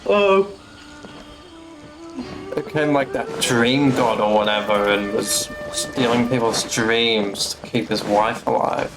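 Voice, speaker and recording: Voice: medium pitch, slow pace, poor audio. A streamer giving casual, blunt live commentary on a game. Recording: game music behind the voice.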